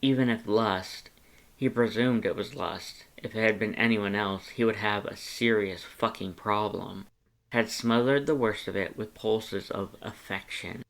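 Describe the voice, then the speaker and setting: A man narrating fan fiction in an expressive audiobook style. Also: fairly low pitch, measured pace.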